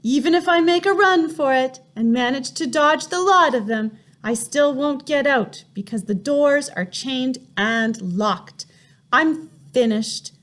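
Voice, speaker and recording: A woman voicing a terrified young boy whose high voice pleads in panic. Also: expressive, measured pace, fairly bright tone.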